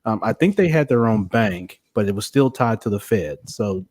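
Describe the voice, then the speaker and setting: A man's voice: lowish voice, lively intonation, normal speed, darkish tone. A man hosting a casual, conversational interview in an informal way. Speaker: interview host.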